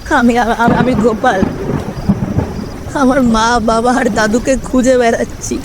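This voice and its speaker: A woman voicing a lost ghost boy of seven or eight in a story, speaking haltingly and plaintively. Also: on the high side, steady tone, heavy background noise, natural voice. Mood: plaintive, eerie. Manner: slow, halting, repeating words.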